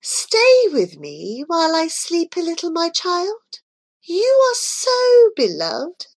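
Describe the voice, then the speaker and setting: A woman voicing a doting, possessive sorcerer's queen in a storybook reading, with a sweet, coaxing, high sing-song manner. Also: huge pitch swings, slow, fairly bright tone, full character voice.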